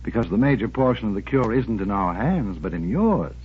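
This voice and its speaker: An actor playing a calm, authoritative psychoanalyst who questions in a measured, professional way, in 1940s radio drama style. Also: male voice, on the low side, slightly rough, exaggerated voice. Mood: frank, firm. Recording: tinny, narrow old radio broadcast.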